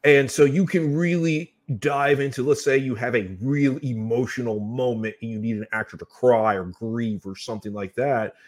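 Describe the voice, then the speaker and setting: A man's voice: mid-range voice, fairly even intonation, normal speed, few pauses. A man co-hosting a casual live chat show, earnestly explaining his points and talking to the audience. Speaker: co-host of horror review show.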